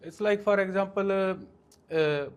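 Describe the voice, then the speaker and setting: A man in his forties from India with an Indian accent, answering interview questions in a halting way with many fillers. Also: high voice, clear, resonant tone. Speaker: guest, franchise owner.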